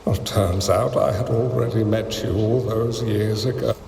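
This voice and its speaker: An actor playing a courteous, venerable old master in a video game, speaking in a stately, gracious manner through an echoing effect. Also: male voice, fairly low pitch, steady tone, measured pace, gravelly, noisy background. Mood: reflective, courteous. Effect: echoing reverb. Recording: echoing long reverb, faint music.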